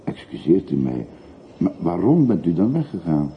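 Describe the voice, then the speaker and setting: Older working man, extremely deep voice, courteous, inquisitive, hesitant, old radio drama, muffled noisy recording. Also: very animated, moderate pace. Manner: polite, probing, hesitant questioning.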